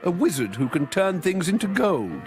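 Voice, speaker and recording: A man voicing a calm, scheming woman librarian who speaks in a low, measured, dry way. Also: faint music behind.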